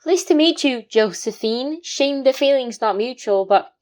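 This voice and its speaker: A woman's voice: high-pitched, lively intonation, a little slow, a little mellow. A woman with an English accent playing a cheeky, cocky eleven-year-old boy detective in a student radio play.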